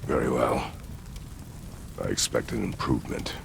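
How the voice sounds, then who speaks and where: Grim warrior dad, super deep gravelly voice, clipped orders. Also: male voice, normal speed, slight background noise, full character voice. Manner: terse, commanding, few words. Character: stern, terse warrior father.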